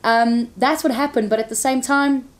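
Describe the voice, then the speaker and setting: A woman's voice: on the high side, fairly even intonation, normal speed. Frank woman presenter. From South Africa. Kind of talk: candid interview answers.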